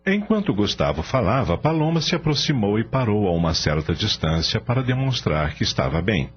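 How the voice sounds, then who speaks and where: A man's voice: lowish voice, very animated, fairly quick. A man narrating a scripted radio drama as a storyteller. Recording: dull audio with faint hum.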